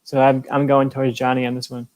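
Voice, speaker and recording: A man's voice: medium pitch, completely flat delivery, fast talker, a little mellow, no room sound. A man in casual conversation, giving his opinion as a podcast judge. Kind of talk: casual chat.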